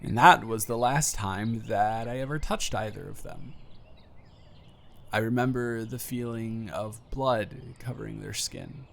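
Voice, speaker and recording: A young man acting a nervous friend making an emotional confession in an intimate audio roleplay, rambling with halting emphasis. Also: on the low side, creaky, fairly bright tone. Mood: grieving, subdued.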